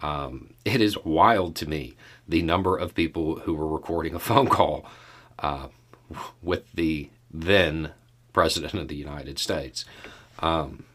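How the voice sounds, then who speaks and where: Deep-voiced, slow-talking man. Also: a bit bright. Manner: measured, halting delivery with deliberate pauses. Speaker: podcast host commentator. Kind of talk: casual solo news commentary.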